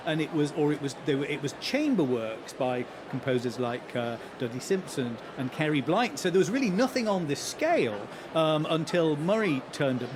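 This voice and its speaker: A man giving articulate expert explanations as a guest in a live interview at a large concert hall. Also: a little high. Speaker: guest commentator, broadcaster. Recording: background noise of a busy venue.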